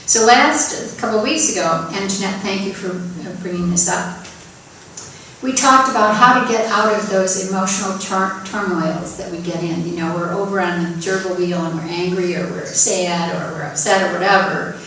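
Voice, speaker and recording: A woman's voice: medium pitch, normal speed, a bit bright, far from the mic, slight background noise. A woman teacher speaks to a congregation in an encouraging way and draws people in by name. Her voice rings through a very echoey sanctuary from well back off the mic. A faint ambience sits behind her. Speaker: spiritual teacher. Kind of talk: sermon talk. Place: church sanctuary.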